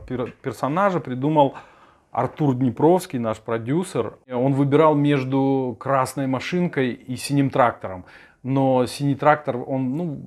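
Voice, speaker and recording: A middle-aged man in casual interview talk, halting as he searches for words. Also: mid-range voice, darkish tone. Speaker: songwriter and sound director.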